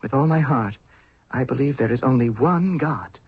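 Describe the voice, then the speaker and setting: A man's voice: mid-range voice, moderate pace, slightly rough, no echo, lightly acted. A man playing an idealistic, fervent young pharaoh in a 1970s radio drama, speaking in an earnest, declamatory way. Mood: fervent resolve. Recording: tinny narrow-band old radio recording.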